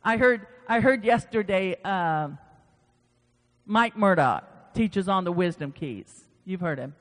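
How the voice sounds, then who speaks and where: A man's voice: high voice, expressive, takes it slowly, fairly bright tone. A man preaching an informal sermon, conversational and folksy, repeating phrases for emphasis and moving into scripture reading. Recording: reverberant hall.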